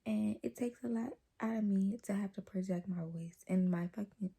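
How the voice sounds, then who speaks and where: A young woman vlogger with a naturally low voice, chatting casually to a camera held close to her face, talking softly and rambling with lots of fillers. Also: moderate pace, gravelly, husky. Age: young adult.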